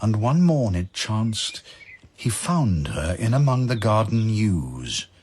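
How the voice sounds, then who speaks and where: A man narrating verse as a dramatic storyteller, in a measured, solemn, literary manner. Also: fairly low pitch, sing-song, darkish tone, breathy edge.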